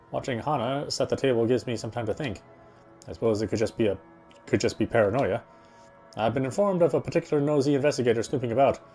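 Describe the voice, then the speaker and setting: A man reading visual novel narration aloud in a dramatic, reflective way with deliberate phrasing. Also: medium pitch, mellow. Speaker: game streamer reading aloud.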